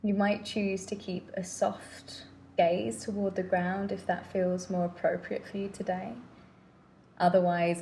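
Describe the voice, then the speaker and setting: Woman doing guided meditation, really slow and soft-edged mellow voice, gentle, lots of pauses. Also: on the low side. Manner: gentle, unhurried, pausing between phrases.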